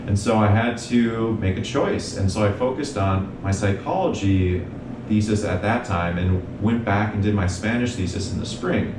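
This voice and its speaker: A young man, a mental health provider, telling his story in an earnest, measured way as an interview guest. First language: English. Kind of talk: reflective interview talk. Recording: echoey room, distant mic, noisy rumble.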